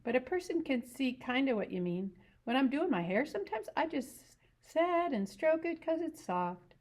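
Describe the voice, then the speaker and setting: A woman's voice: high-pitched, sing-song, moderate pace, darkish tone, voice pushed up. Woman doing a vain, teasing young ranch wife. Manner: teasing, coy, rural grammar. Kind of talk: audiobook reading.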